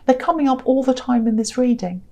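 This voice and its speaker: Tired, croaky woman giving a tarot reading. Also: sits high, brisk. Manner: gentle, rambling, frequent "you know" and "um". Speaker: tarot reader. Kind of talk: casual tarot reading monologue.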